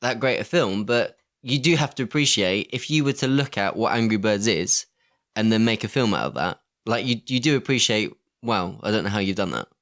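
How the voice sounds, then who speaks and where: Low-voiced male podcast co-host, casual. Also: expressive, a little fast, fairly bright tone. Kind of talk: casual film discussion chat.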